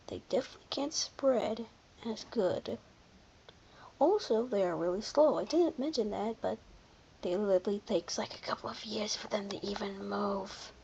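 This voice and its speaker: A commentator giving casual, unscripted video commentary in an informal, halting way, often backtracking. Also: mid-range voice, lo-fi sound, slight background noise. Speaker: video creator presenting a ranking.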